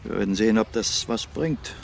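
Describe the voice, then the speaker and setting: An actor playing a self-assured male investigator in a radio crime drama, direct, inquisitive and deliberate. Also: fairly low pitch, gravelly, slight background noise. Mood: probing, assured.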